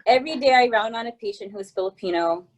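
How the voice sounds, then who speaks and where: A woman's voice: a little high, fast talker. A woman in her thirties from California, a physician speaking earnestly and reflectively, with fillers. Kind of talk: video testimonial.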